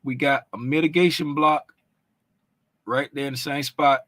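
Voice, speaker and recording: Man, bright voice, dry close sound, casual chart walkthrough, keeps asking "right?". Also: medium pitch, fairly even intonation, normal speed, no room sound. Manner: conversational, keeps asking "right?" and "you know what I'm saying?"; loose, informal phrasing with restarts. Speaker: trading educator.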